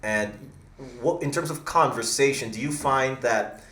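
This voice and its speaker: A man's voice: medium pitch, measured pace, fairly bright tone. A man in his thirties hosting a podcast in a small studio, asking rambling, jokey questions. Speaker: podcast host. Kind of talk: casual interview chat. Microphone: studio mic on arm. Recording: roomy studio echo.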